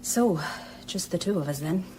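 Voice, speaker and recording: An actress playing an eager, slightly doubtful colleague and friend in a radio drama, chatty and colloquial. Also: female voice, lowish voice, expressive, moderate pace, noisy background, natural voice. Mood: eager, questioning. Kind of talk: naturalistic radio drama acting.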